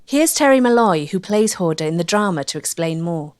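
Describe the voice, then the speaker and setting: A woman's voice: lowish voice, lively intonation, a little fast, a little mellow, no echo. Close, dry-recorded woman documentary narrator. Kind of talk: scripted narration.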